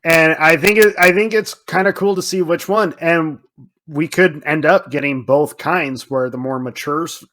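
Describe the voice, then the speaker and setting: A man co-hosting a podcast, discussing films casually in an animated, emphatic way. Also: a little high, moderate pace. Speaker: podcast co-host.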